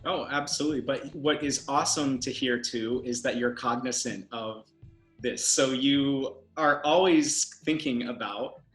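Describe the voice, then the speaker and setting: A man in his thirties hosting an upbeat interview over a video call from home, eager and quick to laugh. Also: medium pitch, soft background music. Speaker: host. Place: home room. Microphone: video call, laptop camera. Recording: echoey room on a video call.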